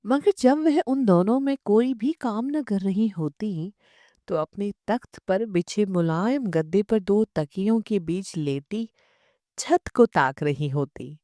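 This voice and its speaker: A woman reading a literary short story as an audiobook narrator, in measured, expressive storytelling. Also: medium pitch, no echo, clean studio sound.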